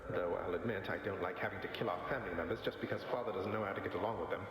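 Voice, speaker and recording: A man's voice: low voice, steady tone, racing, noisy background, lightly acted. Man as wry young prince, heavily echoing. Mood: wry, thoughtful. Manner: wry, casual, dry. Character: wry, good-natured young underworld prince. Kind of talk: conversational video game acting. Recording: heavily echoing hall with music.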